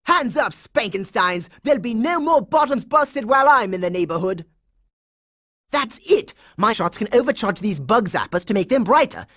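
A man's voice: high-pitched, lively intonation, moderate pace, a bit bright, heavily acted. A man voicing a bossy, heroic boy secret agent in a cartoon video game, dramatic and emphatic with a smug confidence. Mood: defiant, commanding.

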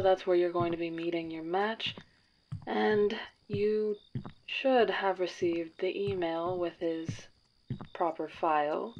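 A woman playing a formal dating agency matchmaker. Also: on the low side. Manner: formal, precise, clipped. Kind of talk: audio drama roleplay. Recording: dull lo-fi sound, faint hiss.

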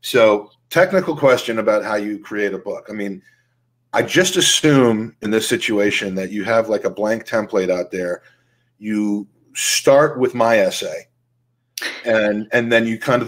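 An American man in his forties hosting a casual interview over a video call in a rambling, informal way. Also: medium pitch. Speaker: podcast host. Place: room at home, indoors.

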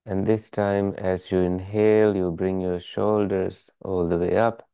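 A meditation teacher leading a guided relaxation in calm, measured, soothing instructions. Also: low voice, clean tone, no echo.

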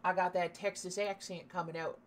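A woman's voice: lowish voice, level tone, fairly quick, a little hiss or hum. A young woman from Canada chatting casually and swearing while streaming a shooter game, laughing now and then. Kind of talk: casual gameplay commentary.

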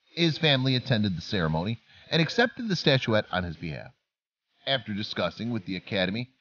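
A man's voice: on the low side, highs cut off. A man narrating a scripted documentary-style story as a voice-over.

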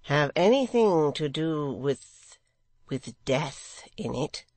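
A scheming secretary from a storybook, voiced by a male narrator. He speaks very slowly and mildly, with pastor-like, insinuating politeness over hidden malice. The sound is dry. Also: a little high, big pitch swings, no echo, voice pushed up, full character voice. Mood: mild, menacing. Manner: pastor-like, mild, insinuating, drawn-out. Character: smooth, scheming, falsely mild male secretary.

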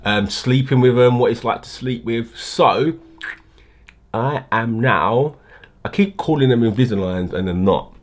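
A man's voice: medium pitch, a little slow. Man with a new-aligner lisp. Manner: chatty, talks while fiddling with his teeth. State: slight lisp from new clear teeth aligners. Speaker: vlogger. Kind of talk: casual unboxing vlog. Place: home room by a window.

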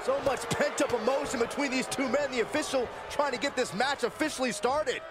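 A man's voice: very high-pitched, brisk. A man playing an earnest, fair-minded pro wrestling commentator in a video game, speaking emphatically. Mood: excited. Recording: loud arena crowd noise behind. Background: roaring crowd and ring noise.

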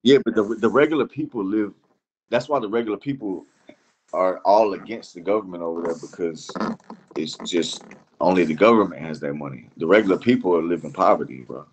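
Deep-voiced Iranian-born man, halting and slangy. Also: husky, dull audio. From Iran. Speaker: interview guest. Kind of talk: casual chat.

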